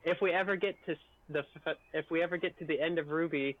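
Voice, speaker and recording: A man's voice: on the high side, steady tone, fast talker, no room sound. A man chatting casually on a group livestream, explaining things to the others. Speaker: stream co-host. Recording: dull, lo-fi voice chat audio.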